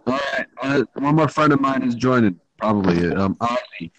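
A man's voice: medium pitch, lively intonation, normal speed, crowd noise, rough recording. A teenage boy with an Irish accent, hosting a loose chat on a home microphone. He swears freely and teases his friends bluntly. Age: teens. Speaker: podcast host. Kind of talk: casual chat.

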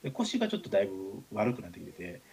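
Animated Kansai man telling casual stories. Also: lowish voice, very fast, gravelly, slightly rough. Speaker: radio show talker, writer. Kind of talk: casual chat and anecdote telling.

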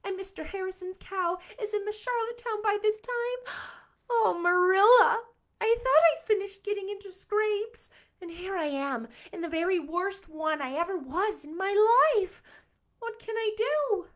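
A woman's voice: very high-pitched, fairly even intonation, normal speed, fairly bright tone, pitched above the performer's own voice. A woman reading aloud voices a dramatic teenage girl who wails and frets breathlessly. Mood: despairing. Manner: breathless, wailing and theatrical.

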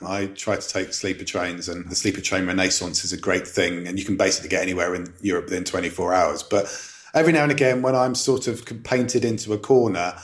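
A man with a lowish voice speaks as a podcast guest. He talks wittily and at length, poking fun at himself with colourful turns of phrase. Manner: witty, wordy, self-mocking. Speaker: return guest. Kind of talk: casual podcast interview.

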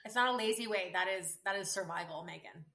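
A woman in her thirties with a raspy voice, co-hosting a podcast in relaxed, wry banter. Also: mid-range voice, fast talker. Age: 30s. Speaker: podcast co-host. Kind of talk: casual chat.

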